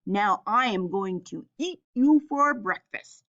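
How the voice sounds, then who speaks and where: A mean, greedy storybook troll, acted out by a woman reading aloud, shouts out menacing threats. The words are drawn out and slow, and the recording is slightly dull. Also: medium pitch, lively intonation. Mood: menacing, shouting.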